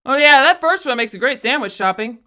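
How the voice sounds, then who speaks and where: A woman as a bright-voiced, blunt teenage boy. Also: high-pitched, animated, a little fast. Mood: wry.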